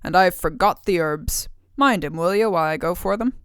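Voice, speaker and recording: Woman doing a loud, greedy child-eating man. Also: fairly low pitch, moderate pace, smooth, no echo, studio quality, lightly acted. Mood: pleased. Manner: old-fashioned country dialect, loud calling.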